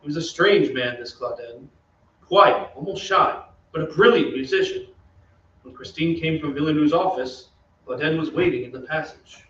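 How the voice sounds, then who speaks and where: An earnest gentleman storyteller acted by a man in an old-time radio play. He has a fairly high voice and sounds reflective, picked up from a distance on a roomy stage. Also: moderate pace, close to an everyday voice. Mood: reflective, narrating. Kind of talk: radio drama narration and dialogue. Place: theatre stage, live reading. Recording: echoey stage, distant mic, muffled, hiss.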